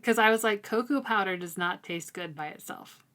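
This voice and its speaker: A woman co-hosting a casual, informal book discussion, talking in a halting, conversational way. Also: medium pitch, fairly bright tone. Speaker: co-host of a book read-along discussion.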